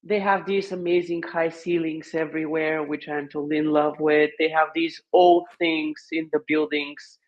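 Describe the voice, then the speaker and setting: A Bulgarian-accented man with a fairly high voice talks as a guest in an informal conversation. He phrases things thoughtfully and haltingly in a second language, and the recording sounds a bit dull and lo-fi. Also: flat delivery. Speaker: podcast guest. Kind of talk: casual conversation.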